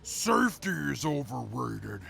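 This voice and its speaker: A gravelly, pompous tyrant. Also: male voice, medium pitch, very animated, unhurried, crowd noise, exaggerated voice. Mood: commanding. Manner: pompous, indignant, disdainful. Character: arrogant, scheming would-be ruler scornful of weak humans. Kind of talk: post-apocalyptic audio drama acting.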